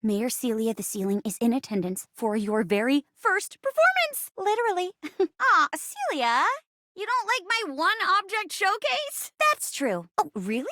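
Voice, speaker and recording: A woman's voice: very high voice, very animated, a little slow, sharp tone, no echo, studio quality, full character voice. A woman voicing a peppy living chair in a video game, a theatrical, giggly and encouraging improv show host. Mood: theatrical, excited. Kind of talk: video game character voice acting.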